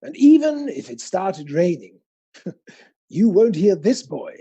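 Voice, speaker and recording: Bloke doing a posh theatrical announcer voice, drawn out and grand, soft husky edge. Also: male voice, high voice, sing-song, takes it slowly, lo-fi sound, exaggerated voice.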